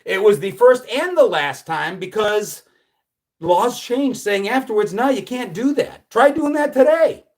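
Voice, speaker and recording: American guy in his 50s doing a live stream, reads comments, rambly and chatty, kinda high voice. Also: lively intonation, unhurried. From United States. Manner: rambling, conversational, with many fillers and restarts. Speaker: livestream host. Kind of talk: casual live chat reading viewer comments.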